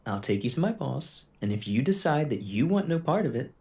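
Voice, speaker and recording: A man's voice: mid-range voice, sing-song, a little fast, creaky, a little mellow. A young man playing a reassuring, polite agent in his mid-twenties in an audio drama. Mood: calm, reassuring.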